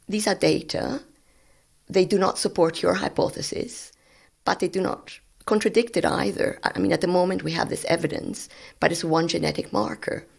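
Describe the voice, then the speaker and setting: A woman in her sixties whose first language is Spanish, a guest scientist telling stories of her work in a relaxed, animated way. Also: lowish voice, vocal fry, husky. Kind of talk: conversational storytelling.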